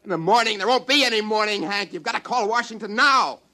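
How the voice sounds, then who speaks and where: A man's voice: high voice, quick pace, sharp tone. A man acting in a 1950s radio drama as a desperate, insistent test pilot warning of doomsday. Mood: desperate pleading. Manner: urgent, insistent, pleading.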